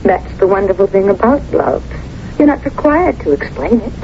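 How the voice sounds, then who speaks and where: A woman's voice: mid-range voice, lively intonation, moderate pace, dark tone, close to an everyday voice. An actress playing a smitten, candid young woman in a 1970s radio drama, romantic and assured. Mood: smitten, playful. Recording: dull, noisy old radio recording.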